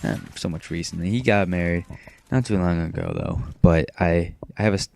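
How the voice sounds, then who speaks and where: A teenage boy from Maine rambling in a self-described monotone, talking casually over his gameplay with frequent restarts. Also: low voice, a little fast, vocal fry. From Maine, USA. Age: teens. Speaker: gaming commentator.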